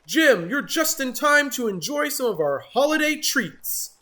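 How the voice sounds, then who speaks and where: Woman putting on a jolly croaky bullfrog. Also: high-pitched, big pitch swings, measured pace, a bit bright, voice pushed up, heavily acted. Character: jolly croaking male bullfrog, hearty and welcoming.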